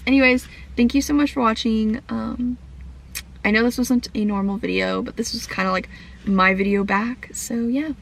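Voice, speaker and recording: A young woman talks straight to her camera in a loose, rambling way, with plenty of fillers and restarts. Her voice is croaky from a cough that has not gone away yet. She sounds casual and at home. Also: on the high side, fairly even intonation, normal speed, slight background noise, low-quality recording. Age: young adult. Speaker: vlogger.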